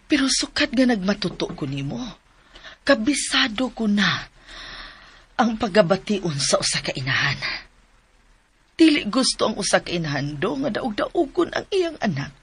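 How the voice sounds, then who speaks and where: A woman with a Cebuano accent voicing a gentle, worried middle-aged mother in a radio drama, pleading and expressive. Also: mid-range voice, husky, full character voice.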